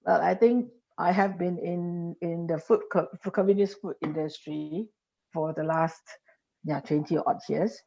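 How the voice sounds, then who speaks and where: Gravelly low woman explaining. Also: a little slow, mellow. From Singapore. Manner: explanatory, stacks examples, frequent restarts. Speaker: industry guest. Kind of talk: podcast interview. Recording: dull lo-fi podcast audio.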